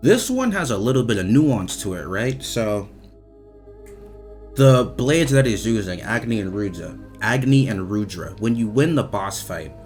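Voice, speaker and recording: A man with a lowish voice gives casual review commentary over faint music. His pitch moves around a lot. He repeats himself, stalls and restarts, and leans on slang and swearing. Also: sing-song, darkish tone. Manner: repetitive, halting, slangy and profane. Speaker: video creator reviewing game voice lines.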